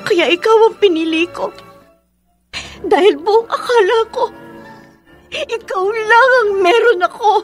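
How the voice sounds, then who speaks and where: High-voiced heartbroken young woman. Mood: bitter, pained. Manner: emotional, deliberate, dramatic delivery. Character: heartbroken resolute young woman rejecting her former lover. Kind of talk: Filipino radio drama acting. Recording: music behind the voice.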